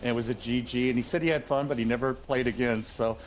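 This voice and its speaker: A man's voice: medium pitch, fairly even intonation, fast, slight background noise, poor audio. A man co-commentating a video game tournament stream, laid-back and self-mocking in his humor. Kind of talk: casual livestream game commentary.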